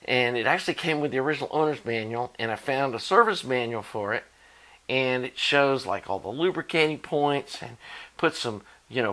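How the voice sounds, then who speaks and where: A man's voice: medium pitch, fairly bright tone. A man in his sixties speaks in a mid-range voice. His delivery is unscripted and folksy, wandering along like a hobbyist chatting to followers of his channel. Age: 60s. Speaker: electronics hobbyist and channel host. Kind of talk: casual unscripted vlog talk.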